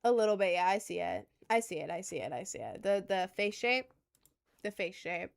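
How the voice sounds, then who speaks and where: A woman's voice: mid-range voice, animated, moderate pace, vocal fry, soft background music. A young woman in her twenties from Maryland with a light Southern accent, chatting casually with viewers in a rambling, self-deprecating way. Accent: light Southern American mixed with New York. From Maryland, USA. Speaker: content creator. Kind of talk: casual livestream chat with viewers.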